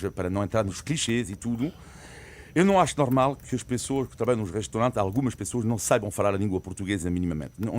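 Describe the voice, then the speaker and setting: A man speaking as a commentator on a studio panel, emphatic and prone to repeating his points. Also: medium pitch. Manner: animated, emphatic, repeats himself.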